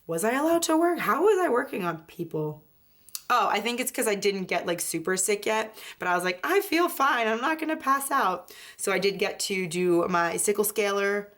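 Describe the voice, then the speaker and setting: A woman's voice: mid-range voice, very animated, normal speed, fairly bright tone. A woman in her twenties chatting casually about her weeks in a planner flip-through, rambling and correcting herself as she goes. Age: 20s. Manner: rambling, self-correcting, lots of "like" and "um". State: recovering from illness, voice partly lost. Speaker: planner vlogger.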